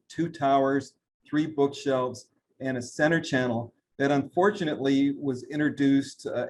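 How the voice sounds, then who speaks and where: A man, a brand president, giving a trade interview and running through product lines with steady talk and frequent fillers. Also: medium pitch, a little hiss or hum. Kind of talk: business interview, product rundown.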